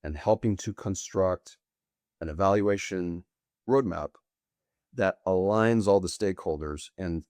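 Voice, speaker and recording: A middle-aged man, bilingual with Portuguese, answering interview questions as a guest in long, deliberate, winding sentences. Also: low voice, darkish tone, dry recording. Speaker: guest, sales executive.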